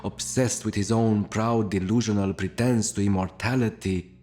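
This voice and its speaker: A man playing a low-voiced, world-weary radio broadcaster. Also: steady tone, moderate pace, warm tone, natural voice. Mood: wry, weary. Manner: brooding, wry, florid wording. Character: world-weary, melancholic, confessional male radio broadcaster of a decaying city. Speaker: radio show host. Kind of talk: scripted radio monologue, noir-like confessional narration. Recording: music under the voice. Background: guitar music.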